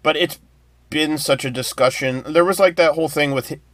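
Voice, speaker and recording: A man's voice: a little high, brisk, a bit bright, dry recording, studio quality. A man in casual conversation, talking in a hedging, skeptical way. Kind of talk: casual chat.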